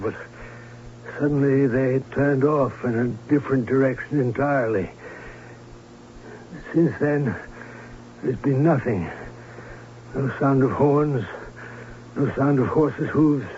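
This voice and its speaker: A man's voice: medium pitch, a little mellow, close to an everyday voice. An actor playing a proud, fond baron father with a formal, theatrical manner in an old-time radio drama. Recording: dull, noisy old radio recording.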